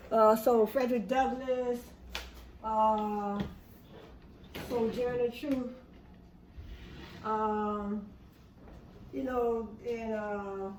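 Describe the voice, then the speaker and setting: A woman reading history notes aloud, halting with many fillers, rereading passages and then restating them in plain words. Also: on the high side, dark tone, distant mic. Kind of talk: casual reading and explaining aloud. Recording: echoey room, voice off-mic, faint background hum.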